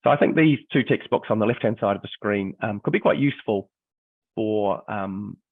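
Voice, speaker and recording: A middle-aged New Zealand man with a croaky voice from illness, a history teacher giving a webinar presentation in a hesitant way, with many ums and restarts. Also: lowish voice, moderate pace, no echo. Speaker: history teacher and textbook author. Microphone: video call. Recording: dry, phone-like video call audio.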